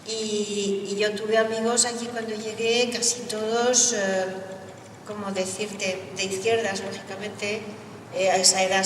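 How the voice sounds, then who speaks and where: A woman on a public panel talks casually about her own life, chatty and loose in her delivery. Her voice has a middle pitch. It sounds far from the mic, with a roomy echo, a long reverb and faint background noise. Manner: chatty, with light laughter. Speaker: panel speaker.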